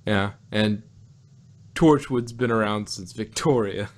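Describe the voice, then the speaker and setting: A man co-hosting a review show in casual chat, speaking haltingly and often chuckling as he talks. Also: lowish voice. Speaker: podcast co-host reviewing audio dramas.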